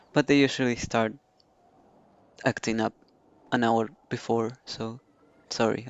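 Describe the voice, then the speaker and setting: Low-key streamer with a creaky voice. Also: muffled recording. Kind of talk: casual game stream chat.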